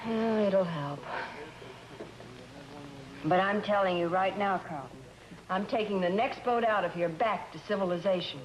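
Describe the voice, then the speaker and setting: An actress playing a bored, sharp-tongued American wife in an old radio drama, blunt and sarcastic. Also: female voice, medium pitch, unhurried, long pauses, slight background noise, lightly acted, rough recording. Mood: uneasy, insistent.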